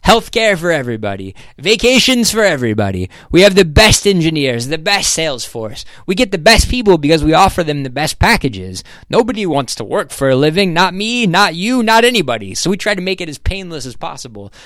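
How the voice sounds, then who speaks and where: Man voicing a brash, emphatic executive, pitched up. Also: sing-song, fairly quick, hardly any pauses, a bit bright, dry recording. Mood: proud. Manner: emphatic, insistent, blustering. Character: brash, expansive middle-aged male corporate executive, bossy glad-hander.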